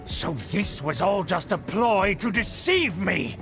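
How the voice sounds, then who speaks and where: Pompous scheming aristocrat, theatrical and formal, high lively voice, video game villain. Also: male voice, slow, exaggerated voice. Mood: indignant. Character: pompous scheming aristocrat man obsessed with clan glory. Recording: game dialogue with music behind.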